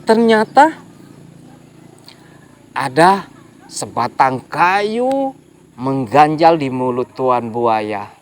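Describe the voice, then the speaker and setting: A man telling a folk tale to children in a lively way, speaking to young listeners directly. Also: mid-range voice, extremely slow, long pauses, a little hiss or hum. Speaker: storyteller.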